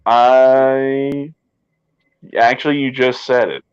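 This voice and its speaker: A man's voice: medium pitch, little pitch movement, takes it slowly, clean tone, no room sound, muffled recording. Easygoing young man. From Tennessee. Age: 20s. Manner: easygoing, hesitant with "um" and "well". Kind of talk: casual chat.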